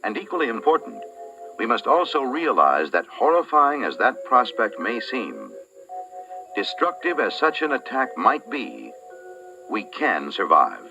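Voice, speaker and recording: A man's voice: fairly low pitch, gravelly. A man giving solemn, oratorical scripted narration for a civil defense film. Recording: muffled old film soundtrack, distant. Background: music.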